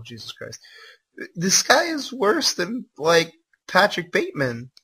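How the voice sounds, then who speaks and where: Bright, husky-voiced man reading aloud. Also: mid-range voice, poor audio. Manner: incredulous asides, breaks into laughter. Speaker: livestream host reading a fan story aloud. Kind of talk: live dramatic reading with mocking commentary.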